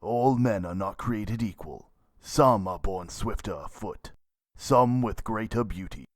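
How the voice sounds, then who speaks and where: A man's voice: fairly low pitch, a little slow, dry recording, studio quality, natural voice. Man voicing a pompous tyrant emperor, orating. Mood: disdainful. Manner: pompous, grandiose oratory. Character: proud, tyrannical anime emperor orating to his nation.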